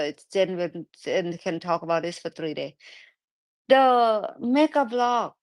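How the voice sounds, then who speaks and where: A woman with a thick Thai accent hosting a live audio chat, speaking broken English in an animated, excitable way. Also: mid-range voice, no echo. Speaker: host.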